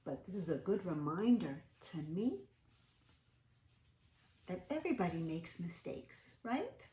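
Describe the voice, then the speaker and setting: Very animated woman reading to children. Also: on the low side, a little slow. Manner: expressive, with gasps, interjections and questions to listeners. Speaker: children's read-aloud host. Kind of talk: animated storybook read-aloud for young children. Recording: roomy, echoing sound.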